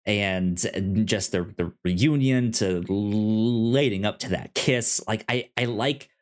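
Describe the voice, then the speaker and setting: A man co-hosting a podcast in casual film discussion, speaking haltingly as he thinks aloud. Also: on the low side. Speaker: podcast co-host.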